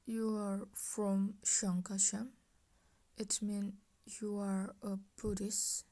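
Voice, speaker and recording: A woman's voice: mid-range voice, level tone, takes it slowly, mellow, lightly acted. A woman voicing a formal, earnest royal minister who gives advice in a children's puppet-show story. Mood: questioning, formal.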